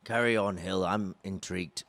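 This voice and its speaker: A man's voice: low-pitched, steady tone, moderate pace, a bit bright. A man playing a court president in a courtroom radio drama, giving brief, clipped rulings. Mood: intrigued. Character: male court president, gruff and authoritative.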